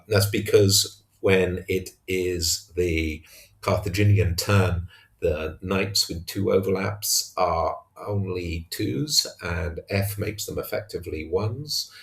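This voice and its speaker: Male wargame commentator, unscripted and hesitant, low pitch, unhurried pace. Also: gravelly. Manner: hesitant, halting delivery. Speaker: tabletop wargamer narrating own battle report. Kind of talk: unscripted match recap commentary.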